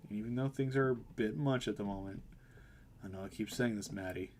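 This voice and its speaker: A man voicing a gentle, worried male mentor in a visual novel, soft-spoken, earnest and apologetic. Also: fairly low pitch, brisk, a little hiss or hum, pitched below the performer's own voice, lightly acted. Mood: hesitant, earnest. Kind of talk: visual novel dialogue read aloud.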